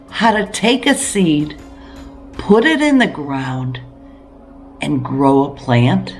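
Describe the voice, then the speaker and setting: A woman hosting a homesteading video, talking straight to viewers in an earnest, emphatic way with rhetorical questions. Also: low-pitched. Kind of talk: direct-to-viewer preparedness talk.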